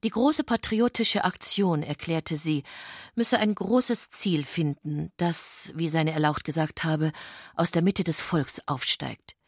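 A woman with a husky voice delivering formal, measured literary narration for a radio play in a studio. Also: sits low, dry recording. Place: dry studio.

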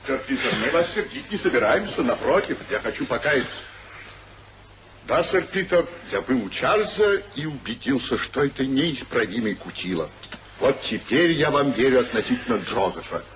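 An actor playing a jovial, teasing old gentleman in a 1950s radio play, with a hearty, mock-innocent theatrical manner. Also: mid-range voice, animated, moderate pace, a little hiss or hum, natural voice.

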